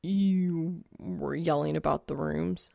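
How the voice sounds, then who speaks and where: A woman reader puts on an awkward young male gamer, a little lowered in pitch and creaky. He is laconic and deadpan, hesitant and low-key, an audiobook character heard dry and close. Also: slow pace, no room sound, full character voice.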